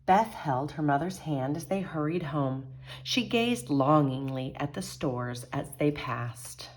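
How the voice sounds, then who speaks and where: A woman storyteller with a low-pitched voice. She reads a children's picture book aloud expressively, in a teacherly manner. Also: animated, slow pace, slight background noise.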